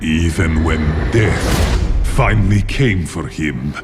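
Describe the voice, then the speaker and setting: A man playing a solemn, weary warrior monk who tells war lore in deliberate, dramatic narration for a fantasy game cinematic. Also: extremely low pitch, loud music behind the voice. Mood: solemn, grave. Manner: slow, solemn, deliberate storytelling.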